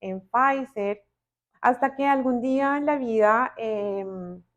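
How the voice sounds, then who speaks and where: A middle-aged woman with a Colombian accent, talking reflectively and deliberately, with pauses, as a podcast guest. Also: a little high. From Bogotá, Colombia. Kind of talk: reflective personal interview talk.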